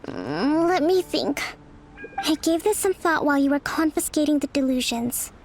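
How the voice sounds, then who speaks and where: An actress playing a young, thoughtful female military leader in a video game, soft and measured in delivery. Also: sits high, lively intonation, a little hiss or hum. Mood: thoughtful, analytical. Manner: measured, formal, deliberate. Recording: music behind the voice.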